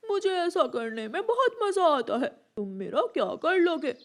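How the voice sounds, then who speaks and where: Woman as young cartoon boy crow, very high pitch, swooping delivery, naughty, whiny, theatrical. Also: very animated, slow, exaggerated voice. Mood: gleeful taunting. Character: naughty young male crow, mocking, later whining and remorseful. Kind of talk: Hindi animated moral story acting.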